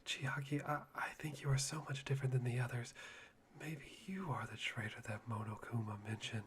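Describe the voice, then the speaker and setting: Someone acting a creepy whispery young guy, fawning and self-loathing, asmr roleplay, loud background noise. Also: medium pitch, moderate pace, natural voice. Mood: dreamy, eerie. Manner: breathy, fawning, giggly, softly menacing. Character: unhinged, self-deprecating young man obsessed with hope.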